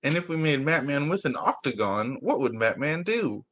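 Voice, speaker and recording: A young man who teaches young children, reading picture books aloud in a sing-song, expressive way over a video call. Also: a little high, moderate pace. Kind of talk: read-aloud story time for young children.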